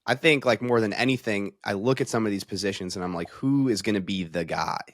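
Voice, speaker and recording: A man's voice: on the low side, a little fast, no room sound, studio quality. A man talking through sports analysis in a conversational, casual way, thinking aloud as a panel analyst.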